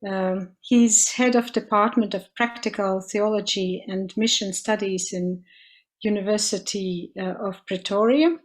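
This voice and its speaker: Slow, hesitant woman introducing a speaker. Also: mid-range voice, fairly even intonation. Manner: hesitant, many fillers. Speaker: lecture host. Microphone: video call.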